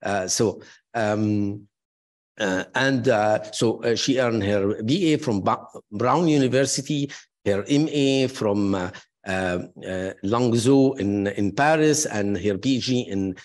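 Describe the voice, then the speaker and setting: A man moderating an academic panel, introducing speakers in a halting way with many fillers and restarts, adding warm praise and personal asides. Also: lowish voice.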